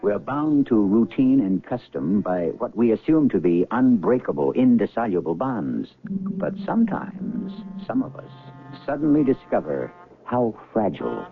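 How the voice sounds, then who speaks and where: A man hosting and narrating a radio drama series, theatrical, deliberate and ominous in his scripted delivery. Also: on the low side, sing-song, vocal fry. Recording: narrow-band old radio broadcast recording. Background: music.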